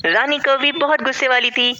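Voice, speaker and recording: A woman's voice: high voice, fairly even intonation, brisk, pushed and bright, highs cut off, slight background noise. A woman narrating a scripted children's cartoon story as its storyteller.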